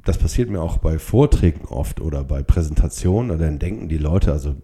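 Deep-voiced man, halting, reflective. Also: animated, mellow, breathy edge. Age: 50s or older. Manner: halting, with short blocks and pauses from a stutter. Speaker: podcast host. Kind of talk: reflective solo podcast monologue.

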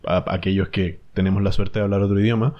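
Chilean podcast host, young man, low voice, muffled lo-fi audio, thinks aloud with fillers. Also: level tone, fast talker. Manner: thoughtful, rambling with fillers. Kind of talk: casual chat.

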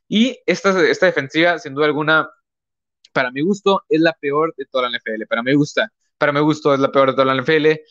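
A young man hosts his podcast in a slightly high voice. He rambles casually, piling up fillers and correcting himself mid-sentence. The sound is dull and lo-fi. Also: a bit bright. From northern Mexico. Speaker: podcast host. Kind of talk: casual live-stream talk.

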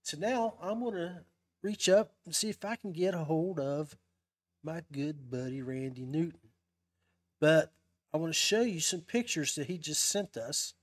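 A man's voice: a little high, animated, unhurried. A man hosting a casual live-stream podcast in a folksy, chummy way. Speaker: podcast host.

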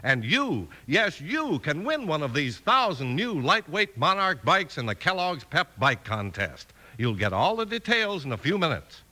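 An old radio broadcast with music features a male announcer. His high voice swings widely in pitch, selling and narrating with emphatic showmanship. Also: sing-song, moderate pace, hardly any pauses, bright, forceful tone, slight background noise. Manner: emphatic, punchy showman delivery. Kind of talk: 1950s radio announcing, dramatic scripted narration.

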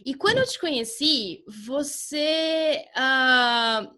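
A woman's voice: high voice, takes it slowly, pushed and bright. A woman with a Brazilian accent hosting a casual chat podcast in an informal, playful, teasing way. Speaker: podcast host.

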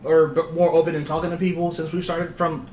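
A young man guesting on a podcast, asking questions in a relaxed, slangy way. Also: a little high, quick pace, a little hiss or hum. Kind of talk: casual podcast chat. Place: small room, group podcast recording. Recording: echoey room.

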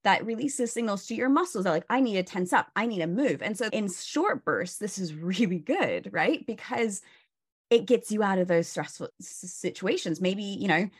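A woman's voice: medium pitch, lively intonation, moderate pace, husky. A woman burnout coach, a guest on a video call, explaining stress in a casual, instructive way with many "you know"s and "right?"s.

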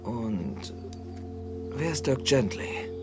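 A man's voice: mid-range voice, lively intonation, very slow. A man playing an anxious, polite young hostage in a German screen dub, jittery and stammering. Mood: wary. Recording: music behind the voice.

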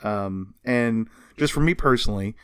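A man's voice: lowish voice, moderate pace, clear, resonant tone, no room sound, studio quality. A man in his thirties co-hosting a casual podcast, talking like an enthusiastic fan. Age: 30s. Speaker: podcast co-host.

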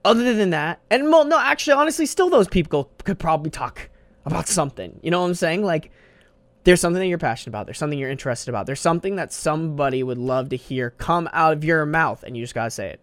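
A man's voice: a little high, lively intonation, fast talker, fairly bright tone, no echo. Rambling young man with a slangy manner. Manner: rambling, slangy and profane, says bro a lot. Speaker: podcast host. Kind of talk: casual solo podcast monologue. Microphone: studio mic on a desk.

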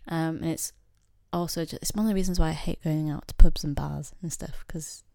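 A young woman from England, talking casually and wryly with many pauses and fillers. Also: low voice, steady tone, vocal fry, warm tone, no echo, clean studio sound. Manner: reflective, wry, lots of fillers and quoted voices. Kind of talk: casual conversation.

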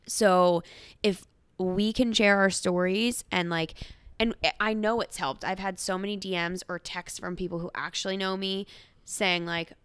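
A woman co-hosting a podcast, talking frankly and casually, with quick asides. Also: mid-range voice, steady tone, a bit bright, smooth, dry recording. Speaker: podcast co-host.

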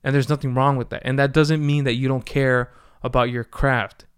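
A thirty-year-old man talks through a casual solo podcast update in a smooth, clean-toned voice. He rambles, restarts his sentences and swears freely, with a tired edge from poor sleep. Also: medium pitch, level tone, moderate pace. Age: 30s. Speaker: podcast host.

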